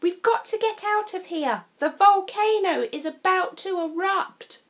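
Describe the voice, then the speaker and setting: Woman voicing an eager, high-pitched boy. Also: measured pace. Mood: urgent. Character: brave, eager young boy adventurer.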